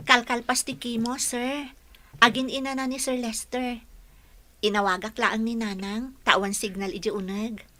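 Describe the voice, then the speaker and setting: A woman's voice: fairly high pitch, level tone, normal speed, bright, forceful tone. A woman playing a dutiful, anxious young caregiver in an Ilocano radio drama, polite and deferential, with an Ilocano accent. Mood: earnest. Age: young adult.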